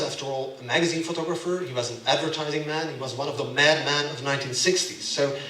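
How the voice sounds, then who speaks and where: A man with a Belgian accent lectures to a room, with a bright, forceful voice pitched a little high. He speaks emphatically and keeps checking in with his listeners. He sounds distant from the mic in an echoey room. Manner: emphatic, keeps asking "yeah?" to the audience. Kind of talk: gallery lecture.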